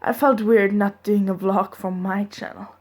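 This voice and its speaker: A woman's voice: mid-range voice, fairly even intonation, measured pace. A young woman reading fanfiction aloud in the first person, sometimes giggling and breaking off to joke, with faint music behind her. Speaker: fanfiction reader.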